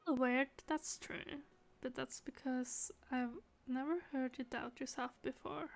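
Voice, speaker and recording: A woman streaming a video game, giving casual reactions and musing aloud in a soft-hearted way. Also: high-pitched, steady tone, slow, vocal fry. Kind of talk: casual live reaction commentary.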